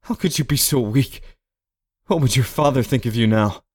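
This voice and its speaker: A man's voice: mid-range voice, normal speed, a little mellow, breathy edge, pitched above the performer's own voice, lightly acted. Man acting an anguished, honorable father, impassioned. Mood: anguished, self-reproaching. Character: desperate, honorable father wrestling with guilt, then dying. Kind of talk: dramatic storybook character acting.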